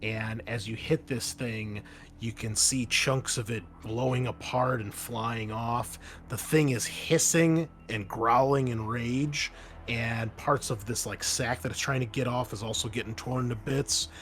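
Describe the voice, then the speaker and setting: A man acts as game master at a tabletop roleplaying game. His voice sits at a medium pitch. He moves between narrating the scene and explaining rules, with casual, slightly hesitant asides. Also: steady tone, measured pace, a bit bright, a little hiss or hum.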